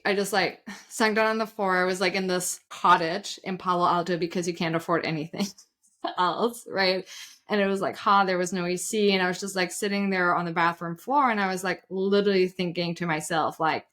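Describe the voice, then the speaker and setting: A woman in her thirties from Germany, speaking English with a German accent, telling her story as a guest on an interview show in a lively, chatty way full of "like" and "literally". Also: on the low side. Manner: energetic, storytelling, says "like" and "literally" a lot. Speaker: guest, side hustle coach. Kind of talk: conversational podcast interview.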